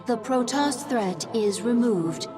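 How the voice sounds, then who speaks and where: An actress playing a loyal alien advisor who reports briskly and dutifully. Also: female voice, on the high side, over music.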